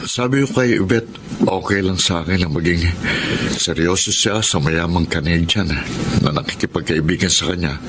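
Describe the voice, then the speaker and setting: An actor playing a self-sacrificing, heartbroken man in a Tagalog radio drama, earnest and halting, with a Filipino accent. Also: deep voice, lightly acted. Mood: heartbroken, sorrowful. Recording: heavy background noise.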